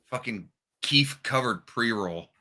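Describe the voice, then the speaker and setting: A man's voice: mid-range voice, expressive, takes it slowly, pushed and bright. A man co-hosting a podcast in casual, profane banter, throwing in crude, brash remarks. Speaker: wrestling podcast co-host.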